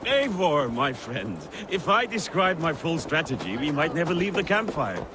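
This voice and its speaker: A man's voice: fairly high pitch, very animated, moderate pace, noisy background. A man playing a boisterous, scheming, hard-drinking Viking warrior in a dramatic video game, with a theatrical way of talking. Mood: conspiratorial.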